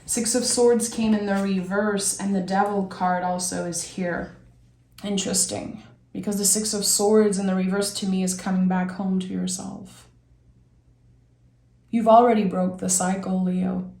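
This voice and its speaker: A young woman with a raspy voice from being sick, giving a gentle, reflective tarot reading and thinking aloud as she draws cards. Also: medium pitch, steady tone, measured pace, reverberant. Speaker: tarot reader. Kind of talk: soft, slow tarot reading.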